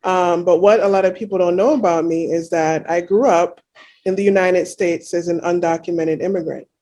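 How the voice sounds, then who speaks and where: A woman's voice: lowish voice, steady tone, normal speed, mellow. A woman in her thirties from Jamaica, a guest in a casual interview, talking reflectively with many "you know" fillers.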